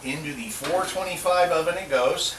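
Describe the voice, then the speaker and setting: A man's voice: a little high, moderate pace. Jokey middle-aged man cooking in a roomy kitchen. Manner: jokey, chatty, talks to himself while cooking. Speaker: cooking show host. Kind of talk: casual cooking demonstration. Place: home kitchen. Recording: roomy kitchen sound from a distant mic, clear background noise.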